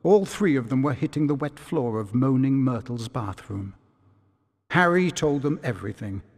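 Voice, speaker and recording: A man narrating a video game story from a script in a measured, dramatic storytelling manner. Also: medium pitch, lively intonation. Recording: long reverb.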